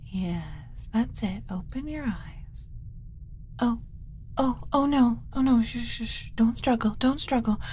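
Kind alien mother, played by a woman, flustered but tender; ASMR roleplay, soft and mellow, unhurried, slipping into whispers. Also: fairly high pitch, a little hiss or hum, close to an everyday voice. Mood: flustered, worried. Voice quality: soft, often dropping to a whisper. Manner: gentle, cooing, hesitant muttering to herself.

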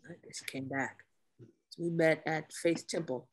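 A woman acting a guarded, masculine-presenting Navy officer in a table read of a drama, speaking in a dry, measured way. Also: deep voice, fairly even intonation. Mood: wry.

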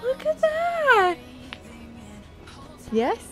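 A woman's voice: extremely high pitch, extremely slow, music in the background. Bubbly woman vlogging her trip. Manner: bubbly and excitable, lots of laughing and exclamations. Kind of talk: travel vlog. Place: outdoors and in resort rooms, on the move. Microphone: handheld action camera.

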